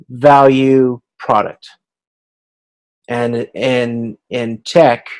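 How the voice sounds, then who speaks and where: A man giving an informal explanatory lecture as an instructor on a video conference, haltingly thinking aloud. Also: medium pitch, vocal fry, a little mellow, low-quality recording.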